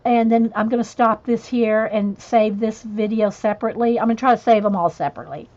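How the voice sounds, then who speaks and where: A chatty woman with a drawl colors and talks at once, her voice sitting a little high and wandering off into asides to her viewers. The sound is dull and lo-fi, like a cheap livestream mic. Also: steady tone, brisk, darkish tone. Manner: chatty, rambling, reads chat messages aloud and answers viewers. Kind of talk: casual chat while coloring on a livestream.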